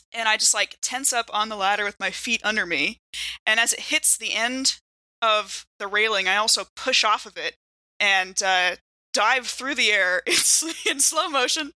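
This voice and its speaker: A woman talks casually as a player in a tabletop game, working out her moves aloud. Her voice is mid-pitched and notably bright. It is recorded dry and close, without room echo. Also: moderate pace. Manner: thinks aloud with frequent "like". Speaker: player in an actual-play podcast. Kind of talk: casual chat, improvised game description.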